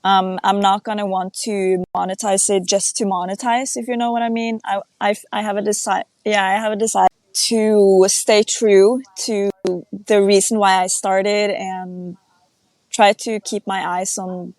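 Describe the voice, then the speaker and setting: A woman's voice: mid-range voice, level tone, unhurried, a little mellow, no echo. A young woman from Norway, a podcast guest, speaking in a thoughtful and hesitant way with many restarts. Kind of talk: casual interview chat.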